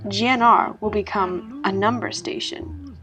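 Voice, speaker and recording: A woman reading scripted narration as a storyteller, in a measured, explanatory manner. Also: mid-range voice. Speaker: narrator reading an online horror story about a video game. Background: music.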